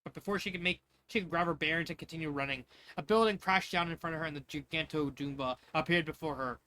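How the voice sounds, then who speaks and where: A man's voice: fairly high pitch, fast, sharp tone, no room sound. A man narrating fan fiction in an informal, amateur way, stumbling over words with casual asides.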